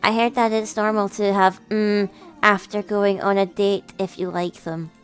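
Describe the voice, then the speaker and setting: A woman voicing a cool, quietly affectionate young cat girl with soft, smooth, understated delivery. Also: mid-range voice, level tone, a little slow, faint music behind, natural voice. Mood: softly coaxing. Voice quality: soft, subdued.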